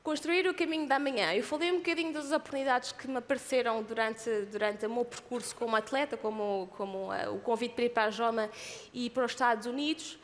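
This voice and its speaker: A woman's voice: a little high, fast. Earnest Portuguese woman speaking in a hall. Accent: European Portuguese. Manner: earnest, with frequent hesitations and restarts. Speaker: athlete giving a talk. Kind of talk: personal motivational talk.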